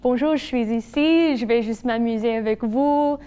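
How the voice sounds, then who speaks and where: A woman's voice: fairly high pitch, moderate pace, dark tone, clear, resonant tone. A woman from Manitoba giving a casual, thoughtful personal testimony. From Manitoba, Canada. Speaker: interviewee. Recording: echoey room.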